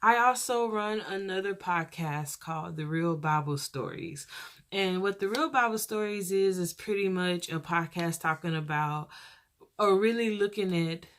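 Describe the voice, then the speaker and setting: A young woman hosting her own podcast with a soft voice, talking casually and earnestly, rambling and pausing as she goes. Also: on the low side. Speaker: podcast host.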